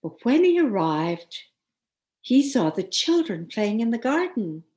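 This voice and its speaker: Woman reading a fairy tale to kids, takes her time, really expressive with it. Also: on the high side, unhurried. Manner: expressive, with dramatic emphasis. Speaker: storyteller. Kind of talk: children's story read aloud.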